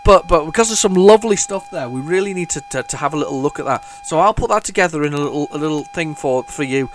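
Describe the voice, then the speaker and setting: A man hosting a retro gaming video, talking casually while loading old tapes, with a head cold and a rambling, restart-filled way of talking that jumps into excited bursts. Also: on the high side, fast, soft background music. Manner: rambling, chatty, lots of restarts and sudden excited outbursts. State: has a head cold, sniffly.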